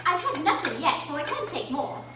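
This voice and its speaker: A woman's voice: high voice, sing-song, moderate pace. A young woman playing a curious, outspoken young girl in a radio drama. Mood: argumentative. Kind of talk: stage radio drama acting. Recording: echoey hall, distant mic, loud noise.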